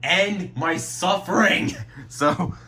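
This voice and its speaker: A man's voice: high-pitched, drawn-out words, pushed and bright. A man casually showing off his radio collection at home, rambling, restarting sentences and swearing now and then. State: throat getting sore. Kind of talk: casual show-and-tell vlog. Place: at home at night.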